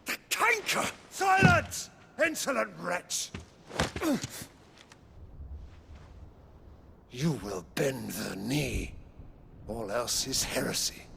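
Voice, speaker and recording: A British man playing a pompous, domineering middle-aged ruler in a fantasy video game, speaking in formal, measured phrases. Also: medium pitch, sing-song, vocal fry, noisy background, heavily acted. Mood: furious. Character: imperious, zealous aging emperor-father, grandly cold and domineering.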